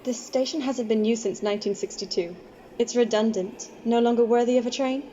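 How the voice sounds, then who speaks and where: A woman acting as a guarded young homeless woman in an amateur radio play. Her voice is soft-edged and mellow, her lines terse and wistful, on a dull recording with faint noise. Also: fairly high pitch, fairly even intonation, brisk, close to an everyday voice. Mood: matter-of-fact. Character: guarded, quiet homeless woman of twenty-six. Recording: dull, slightly noisy home recording.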